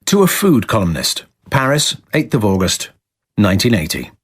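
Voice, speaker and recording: A man with a low, slightly husky voice reads formal letters aloud as a scripted radio piece. His delivery is measured and articulate, with widely varied intonation that rises and falls through each sentence. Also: wildly swooping pitch, fairly bright tone.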